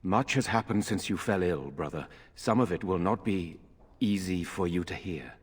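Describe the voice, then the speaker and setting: A man's voice: deep voice, moderate pace, husky. An actor playing an earnest, concerned nobleman in a fantasy video game, speaking formally. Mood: gentle, solemn. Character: earnest, concerned nobleman, younger brother of a lord. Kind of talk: dramatic video game voice acting.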